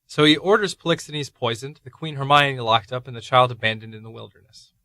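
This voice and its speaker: A man's voice: mid-range voice, fairly quick, pushed and bright, no room sound. A man playing Bear, a wise, welcoming husband who writes plays, in an easygoing, rambling manner. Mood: calm, wry. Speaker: cottage host and husband. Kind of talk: amateur radio play.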